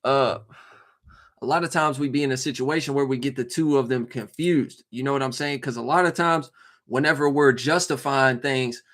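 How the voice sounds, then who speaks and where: A man hosts his own channel in a relaxed, cursing monologue. He keeps circling back with filler phrases as he explains himself. His voice sits at an ordinary middle pitch. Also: moderate pace. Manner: loose and profane, with filler phrases. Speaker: host and tarot reader. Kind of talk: casual talk monologue to viewers.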